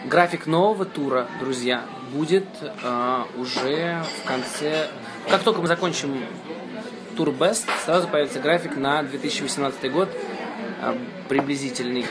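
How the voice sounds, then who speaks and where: Joking man over loud crowd chatter. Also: mid-range voice, moderate pace. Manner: joking, teasing, playful. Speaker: singer streaming live with his band. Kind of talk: casual live-stream chat. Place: indoors, at a meal table. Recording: loud crowd noise behind.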